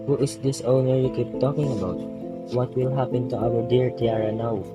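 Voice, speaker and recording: A man's voice: mid-range voice, steady tone, normal speed, close to an everyday voice. A young man in a student radio drama, playing a protective, suspicious neighbor. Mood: protective, demanding. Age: young adult. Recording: loud guitar music behind.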